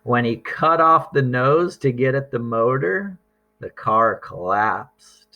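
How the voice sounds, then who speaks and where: A man reading a children's story aloud as narrator, with expressive, deliberate phrasing. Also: mid-range voice, highs cut off. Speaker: storybook reader.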